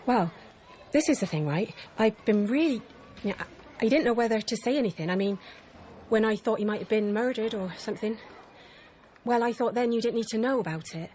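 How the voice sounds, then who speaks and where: An actress playing a nervous, well-meaning teacher in a radio drama, hesitant, rambling and apologetic. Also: female voice, fairly high pitch, animated, fairly quick, a little mellow, slight background noise, close to an everyday voice. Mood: awkward, confiding. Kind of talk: naturalistic radio drama acting.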